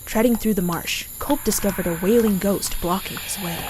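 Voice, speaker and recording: A woman's voice: mid-range voice, moderate pace, darkish tone, slight background noise, poor audio. A woman reading scripted narration for a fantasy storybook. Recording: game music under the voice. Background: fantasy game music.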